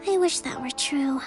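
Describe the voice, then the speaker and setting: A composed young priestess and strategist from a video game. The voice is high, with formal, carefully measured phrasing and soft music underneath. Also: female voice. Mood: weary. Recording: faint music behind the voice.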